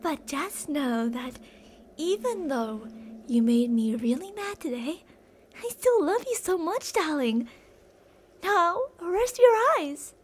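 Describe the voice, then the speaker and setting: A woman's voice: high voice, big pitch swings, takes it slowly, slight background noise, heavily acted. A woman playing an obsessive, possessive yandere captor in an ASMR roleplay, intimate and doting with endearments yet menacing. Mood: soothing, menacing. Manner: intimate, cooing endearments, drops to whispers. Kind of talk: ASMR roleplay, scripted monologue.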